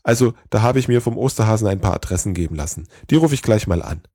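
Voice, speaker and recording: A man voicing an excitable, breathless male chief elf in a storybook tale who talks in a rush. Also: fairly low pitch, husky, no room sound, studio quality. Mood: excited. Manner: rushed, breathless, jargon-filled.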